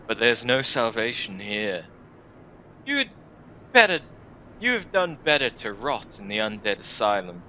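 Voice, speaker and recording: A jaded young woman warrior of a dark fantasy game, as a man performs her. She is mocking and sardonic, sighing at newcomers. Her delivery is slow and very pointed, with a bright, hard edge and faint noise behind it. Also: fairly low pitch, sing-song, exaggerated voice, poor audio. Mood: mocking, gloomy. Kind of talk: visual novel character voice.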